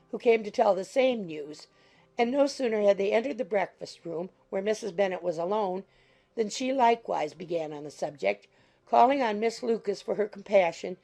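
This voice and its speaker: Creaky-voiced woman narrating a novel. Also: medium pitch, warm tone. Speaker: audiobook narrator. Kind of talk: scripted literary narration.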